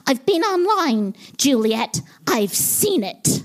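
An outraged little boy frog puppet, acted out by a woman in a comic stage story. The voice is squeaky, extremely high and leaps around with dramatic accusation. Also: very animated, slow pace, exaggerated voice. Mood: suspicious. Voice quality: squeaky, childlike.

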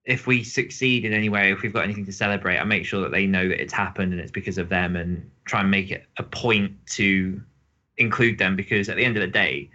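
A man's voice: sits low, fairly even intonation, fast, pushed and bright. A man speaking casually as a podcast co-host, with a slightly lo-fi sound to his voice. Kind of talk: casual chat.

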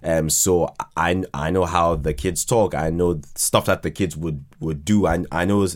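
A man with a deep-set, low voice chats as a guest on a podcast. His delivery is informal and halting, with words often doubled or tripled. Slang slips easily into his explanations. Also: steady tone. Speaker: podcast guest, writer of an audio fiction drama. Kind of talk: casual podcast conversation.

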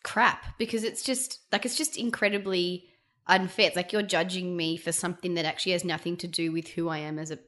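A woman joins a podcast as a guest and talks things through in a reflective, earnest way. Her voice sits a little low, and her delivery is relaxed and conversational. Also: a little fast. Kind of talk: casual chat.

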